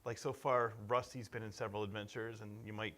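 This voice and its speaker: A man's voice: lowish voice, flat delivery, fast talker, fairly bright tone. A man in casual conversation, a voice actor talking as a guest on a show. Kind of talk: casual chat. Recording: clear background noise, clicks.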